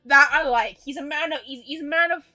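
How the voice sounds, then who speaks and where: A woman livestreamer giving casual, expressive, chatty reaction commentary on a game. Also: high-pitched, fairly quick.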